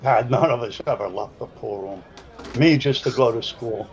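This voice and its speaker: A man's voice: mid-range voice, moderate pace, a little mellow. Man in his 70s, South Side Chicago accent, folksy rambling pool commentary, dull lo-fi sound.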